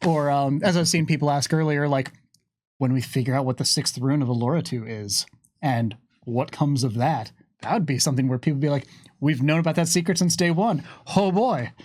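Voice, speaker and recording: A man hosting a livestream Q&A in an unscripted discussion, sometimes halting as he searches for words. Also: on the high side, darkish tone. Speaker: host of a livestream Q&A.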